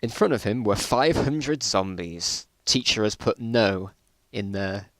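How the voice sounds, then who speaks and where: A man's voice: on the low side, animated, a little slow, no echo. British man, amused and dramatic, dry close sound. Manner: amused, incredulous, breaking off to comment. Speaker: reader of his own old school stories. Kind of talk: informal dramatic reading with mocking asides.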